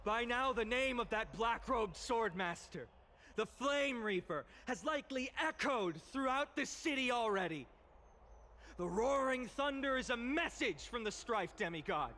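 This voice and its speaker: An actor playing an earnest young male hero who gives a solemn, measured speech in a video game. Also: very high voice, animated, sharp tone, heavily acted. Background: game music.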